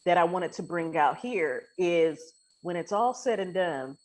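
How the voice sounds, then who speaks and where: A woman's voice: on the low side, expressive, a little slow. A woman hosting a casual, faith-centred podcast conversation in an informal, emphatic, colloquial way. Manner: informal, emphatic, uses everyday colloquial phrasing. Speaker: podcast host.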